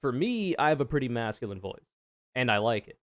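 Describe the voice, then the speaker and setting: Deep, smooth-voiced streamer man, blunt. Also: very animated, brisk, no room sound, studio quality. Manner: frank, swears freely, thinks aloud. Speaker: streamer reacting to a video. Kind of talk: casual reaction commentary.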